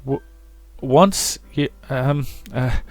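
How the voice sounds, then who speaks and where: A man's voice: mid-range voice, extremely slow, dry recording, soft background music, voice pushed up. A man voicing a timid, self-doubting young woman who stammers and apologises in a visual novel reading. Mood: hesitant, confused. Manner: stammering, hesitant.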